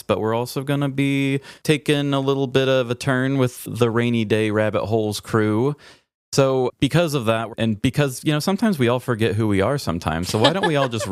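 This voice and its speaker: Man, American accent, low clear voice, dry close sound, casual joking podcast host. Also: no room sound. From Midwestern United States.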